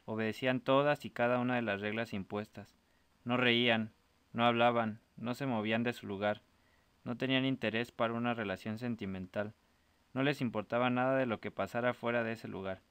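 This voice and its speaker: Smooth, clear male storyteller. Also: mid-range voice, steady tone, a little fast, a bit bright, no echo. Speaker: story narrator. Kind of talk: scripted storytelling narration.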